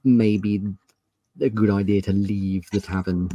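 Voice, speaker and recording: A man running a tabletop roleplaying game, narrating scenes in a measured, descriptive and polite way. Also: fairly low pitch, steady tone, warm tone, dry recording, muffled recording. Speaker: game master.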